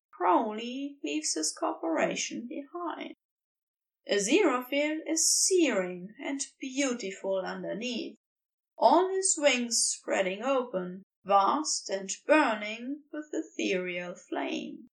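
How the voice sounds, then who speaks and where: A woman reading a romantic story as scripted narration, tender and unhurried in her storytelling, a little away from the mic. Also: a little high, sing-song, vocal fry, recorded from a distance, low-quality recording. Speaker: amateur fan fiction narrator.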